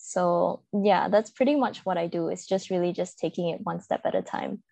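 A woman's voice: fairly low pitch, steady tone, moderate pace, creaky, dark tone, no room sound. A woman with a Malaysian accent giving reflective, informal answers as a singer-songwriter guest in an interview.

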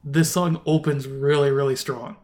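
A man's voice: mid-range voice, flat delivery, normal speed, a little mellow. A man co-hosting a casual album review podcast, talking in a rambling way with many restarts and fillers. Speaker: podcast co-host.

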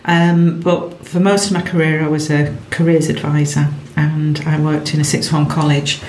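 A woman's voice: low voice, flat delivery, normal speed, a little mellow. A middle-aged woman with a strong Yorkshire accent, a retired careers advisor chatting with friends, jokey and full of restarts. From England. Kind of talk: casual group chat. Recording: echoey room, slightly distant mic, faint hiss.

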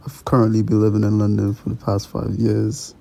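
Gravelly, mellow young man. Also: lowish voice, monotone, a little fast. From Ireland. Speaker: podcast guest. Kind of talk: casual self-introduction.

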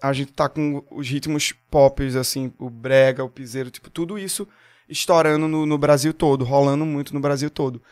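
A young man from Pernambuco with a Pernambuco Portuguese accent, a singer chatting as a guest on a podcast in an animated, storytelling way. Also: mid-range voice, normal speed. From Pernambuco, Brazil. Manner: animated, storytelling, quotes people. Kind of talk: casual podcast chat.

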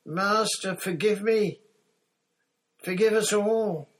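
A terrified henchman in a fantasy audiobook. The voice leaps high and loud, shrieking and frantic as he begs for mercy. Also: slow. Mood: terrified, begging. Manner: frantic, pleading. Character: terrified henchman begging his master for mercy.

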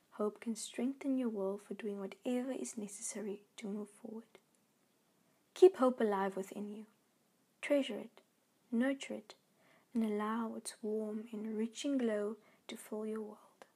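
A woman reading a scripted devotional message in an earnest, measured, encouraging way. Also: a little high, dark tone, husky. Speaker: devotional reader.

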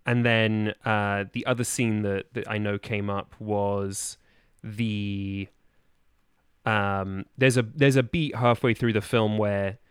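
A man speaking as a podcast guest in casual conversation, with a halting, discursive delivery. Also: lowish voice, flat delivery, smooth, no room sound, studio quality. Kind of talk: casual chat.

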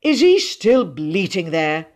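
A woman's voice: on the low side, very animated, slow. A woman voicing a weary, sardonic middle-aged father in an audiobook, dry and formal in manner. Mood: exasperated. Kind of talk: audiobook character voice.

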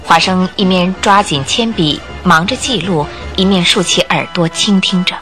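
A woman's voice: on the low side, moderate pace, music in the background. A woman narrator telling a scripted radio drama story in a vivid, emphatic way.

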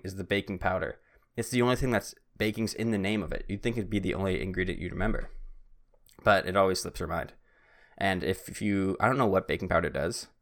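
Low gravelly young man rambling on a podcast. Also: a bit bright. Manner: rambling, self-mocking, easily sidetracked. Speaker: podcast host. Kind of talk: casual solo podcast monologue. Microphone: podcast microphone.

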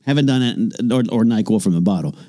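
Animated, jokey male podcast co-host. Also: on the low side, fast talker. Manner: jokey, does silly character voices in his bits. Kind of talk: casual podcast chat.

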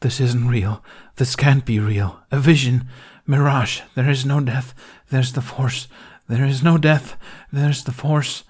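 A shaken Jedi master, as a male narrator plays him, raised in pitch above the reader's normal voice and strained louder. His voice cracks and pleads as he sinks into despair. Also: expressive, slow, husky, heavily acted. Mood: distraught.

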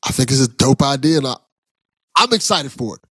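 A man's voice: mid-range voice, expressive, a little fast, a bit bright, husky, no room sound, studio quality. A man hosting a casual podcast interview in an enthusiastic, encouraging way. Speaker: podcast host.

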